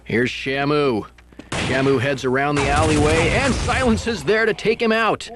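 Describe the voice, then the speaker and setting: A man giving play-by-play commentary on a competitive video game match, his voice jumping up at each kill. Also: normal speed. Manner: rises sharply in pitch at kills. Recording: TV broadcast with game gunfire noise.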